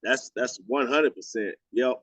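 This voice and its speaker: A man's voice: mid-range voice, measured pace, a bit bright, dry recording. A man in his forties hosting a radio show, chatting loosely, joking and swearing freely. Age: 40s. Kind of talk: casual interview chat.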